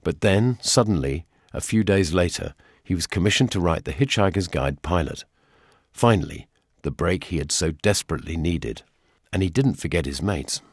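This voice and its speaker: An older English man narrates a radio documentary in a deep voice. His delivery is measured and gently wry, and the sound is close and dry. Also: expressive, breathy edge, no echo. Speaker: presenter.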